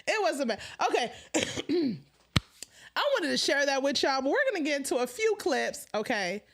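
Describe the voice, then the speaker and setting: High-voiced animated woman host with a stutter. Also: moderate pace, vocal fry, a little hiss or hum. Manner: animated, laughs often, stutters. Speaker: live-stream host. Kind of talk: casual live-stream chat.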